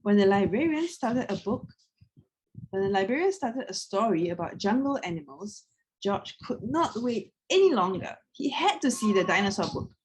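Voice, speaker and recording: A woman reading a children's picture book aloud in an expressive way, as if to young listeners. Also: mid-range voice, normal speed, slightly rough, low-quality recording. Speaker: read-aloud storyteller.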